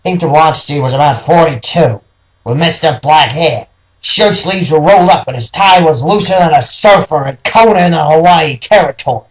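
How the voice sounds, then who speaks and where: A man's voice: a little high, poor audio. A man reading scripted noir detective story narration as the narrator.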